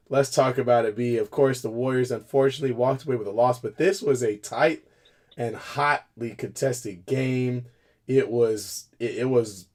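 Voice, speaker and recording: A man with a raspy voice from a cold, co-hosting a casual sports talk show. He chats loosely, laughs often and trips over his words. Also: medium pitch, a little mellow. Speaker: co-host. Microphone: video call.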